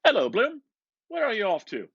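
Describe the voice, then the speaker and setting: A man voicing a hearty, sociable Dublin man in a novel, with loud, effusive, sing-song speech. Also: sits high, wildly swooping pitch, moderate pace, gravelly, breathy edge, dry recording, muffled recording, voice pushed up, heavily acted.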